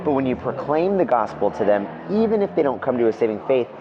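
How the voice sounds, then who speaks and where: A man's voice: medium pitch, fast. A man, a guest evangelist, talking casually and enthusiastically in an interview, often saying "man" and "dude". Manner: relaxed, enthusiastic storyteller, says "man" and "dude". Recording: lo-fi with music behind.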